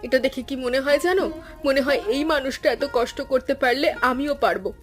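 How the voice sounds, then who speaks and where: Female actor as patient village mother for cartoon: consoling, reassuring, lightly high woman's voice with music beneath. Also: normal speed, natural voice. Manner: soothing, reassuring. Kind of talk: cartoon folk tale dialogue.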